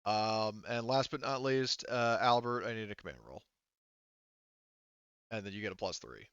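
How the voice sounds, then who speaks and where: A man casually running a tabletop roleplaying session as game master, halting and thinking aloud as he goes. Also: on the low side, a bit bright, clean tone, no room sound, dull audio.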